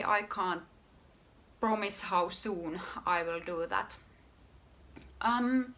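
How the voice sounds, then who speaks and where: A woman from Finland with a Finnish accent, hosting her own podcast about her knitwear design work in an unhurried, casual way. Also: a little high, fairly bright tone. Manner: unhurried, pausing often with fillers and restarts. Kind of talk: casual solo podcast talk.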